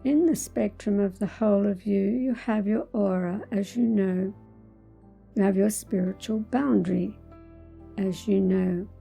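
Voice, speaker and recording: A woman leading a spiritual guided meditation, speaking in measured, deliberate phrases with pauses in a soothing manner. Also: mid-range voice, gravelly, mellow, breathy edge, soft background music.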